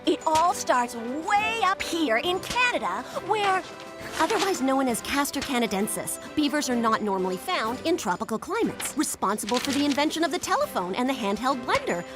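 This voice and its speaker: A woman's voice: high voice, very animated, normal speed, music in the background. A woman voicing a brash, bossy know-it-all girl in a cartoon, with an emphatic, lecturing manner. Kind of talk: cartoon voice acting.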